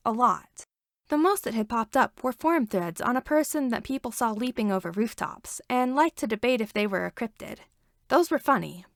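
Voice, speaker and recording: A woman reading fan fiction aloud as an expressive storyteller with wry asides. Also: mid-range voice, creaky, no room sound, clean studio sound. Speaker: podfic reader.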